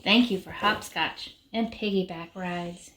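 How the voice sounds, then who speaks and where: A woman reading a rhyming children's picture book aloud in short, measured phrases with pauses. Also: fairly low pitch. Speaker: reader of a children's story. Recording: background noise with clicks.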